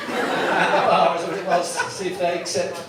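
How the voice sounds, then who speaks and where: A high-voiced man working the crowd as a comedy club MC, chatty and teasing, full of little restarts. He is heard from well back in a very echoey room, with loud noise under the voice. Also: monotone, normal speed, recorded from a distance. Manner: chatty, teasing audience banter with many restarts. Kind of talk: stand-up compering and crowd work. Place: comedy club with live audience.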